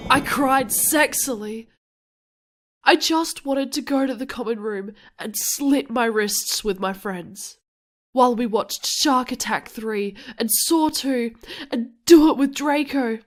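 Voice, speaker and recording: A woman's voice: on the high side, slow pace. A woman voicing a melodramatic teenage goth girl who narrates a cartoon parody story in an overdramatic way. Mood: anguished.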